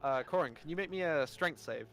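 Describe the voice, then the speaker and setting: A man narrating as game master, relaxed and often laughing. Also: a little high, fairly quick, faint music behind. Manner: relaxed, laughing, lots of filler uh and um.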